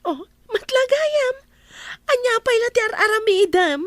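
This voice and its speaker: An actress playing a teasing, excitable, nosy best friend in a radio drama. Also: female voice, extremely high pitch, measured pace, full character voice. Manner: teasing, exclamatory.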